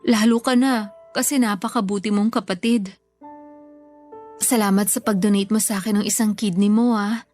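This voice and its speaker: A woman's voice: medium pitch, steady tone, moderate pace, long pauses, darkish tone, faint music behind, lightly acted. An actress playing a grateful, affectionate older sister and mother in a sentimental radio drama, speaking tenderly and earnestly.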